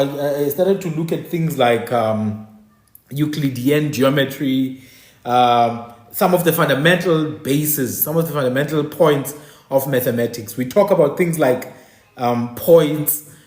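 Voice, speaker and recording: Passionate South African man, echoey room. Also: a little high. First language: a South African language, not English. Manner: passionate, exasperated, rhetorical. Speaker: host. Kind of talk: casual monologue.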